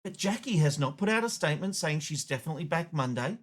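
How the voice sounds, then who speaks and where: A man's voice: on the high side, lively intonation, quick pace. A man hosting a show, delivering opinionated, emphatic editorial commentary. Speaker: show host, media commentator.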